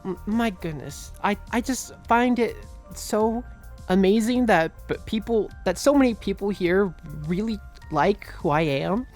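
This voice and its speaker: A woman voicing a nervous, excitable, friendly young male cat in an in-character fan Q&A, halting and then bursting with excitement. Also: medium pitch, soft background music, lightly acted. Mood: emotional, hesitant.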